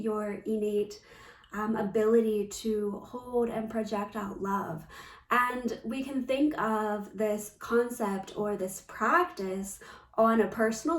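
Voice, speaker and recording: A woman speaking off the cuff as a spiritual coach to viewers, in a measured, reflective manner with pauses. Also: on the high side. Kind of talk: unscripted spiritual talk to viewers. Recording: roomy echo, distant microphone.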